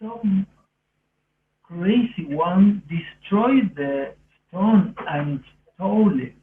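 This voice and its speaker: A man's voice: high voice, drawn-out words, slight background noise. A man with an Argentinian accent, a native Spanish speaker, telling personal stories in earnest, rambling, non-native English on a podcast. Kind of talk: casual autobiographical storytelling. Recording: roomy, distant, phone-like thin sound.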